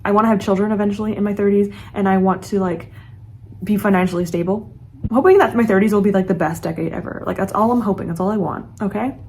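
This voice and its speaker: A woman's voice: mid-range voice, fast talker, darkish tone, a little hiss or hum. A woman in her late twenties, a book vlogger chatting casually and earnestly to her viewers. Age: late 20s. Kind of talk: casual chat to camera.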